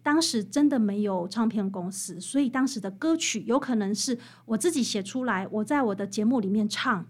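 A woman with a Taiwanese Mandarin accent, an interview guest telling stories in an animated, explanatory, conversational way. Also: medium pitch, fairly quick. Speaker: interview guest, author and researcher of old songs.

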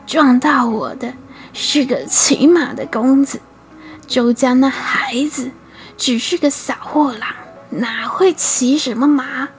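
Narrator doing a gentle, tearful storybook grandmother, hushed, over soft music. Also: sits high, lively intonation, slow pace, full character voice. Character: tearful older rich widow in beggar's rags.

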